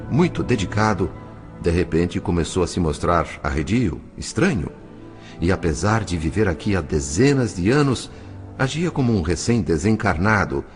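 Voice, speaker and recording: A man with a Brazilian accent playing an earnest, gentle spirit narrator, telling the story in a solemn, measured audiobook style with drawn-out phrase endings. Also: low-pitched. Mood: reflective. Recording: studio narration with music underneath. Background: music.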